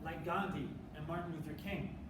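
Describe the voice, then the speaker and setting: A woman's voice: deep voice, fairly quick. Distant young woman in dramatic stage recital. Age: young adult. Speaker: readers theater performer on a college forensics team. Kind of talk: rehearsed dramatic readers theater speech. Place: indoor room, live stage performance. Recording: echoey room from far off, noisy.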